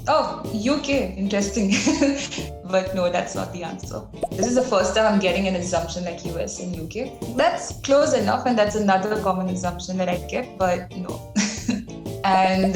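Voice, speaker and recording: Giggly young woman in an echoey room. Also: mid-range voice. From India. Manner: giggly, relaxed, reading out comments. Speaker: series participant. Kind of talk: casual to-camera reactions. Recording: echoey room with music behind.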